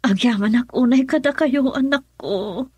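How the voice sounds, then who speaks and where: Casting: a suffering, forgiving radio drama mother, high-pitched, strained and breathy. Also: moderate pace, a little mellow. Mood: weak, grateful. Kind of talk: emotional radio drama acting.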